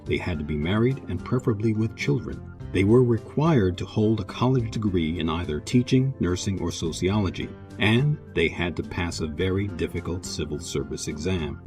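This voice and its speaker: A man narrating a scripted documentary in a measured way, with dramatic pauses and emphasis. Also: low-pitched, animated. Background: music.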